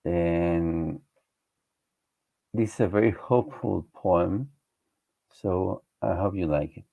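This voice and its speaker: A man from Chile reciting his poem slowly and earnestly as a guest poet. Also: deep voice, vocal fry. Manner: slow, earnest reading with pauses. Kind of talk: poetry recitation. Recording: dull lo-fi online call audio.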